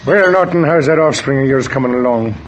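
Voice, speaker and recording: A man's voice: medium pitch, lo-fi sound, background noise, close to an everyday voice. A man acting a jovial, doting, talkative father in an old-time radio soap opera. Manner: garrulous, proud, unhurried. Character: jovial, doting Irish father, chatty business client.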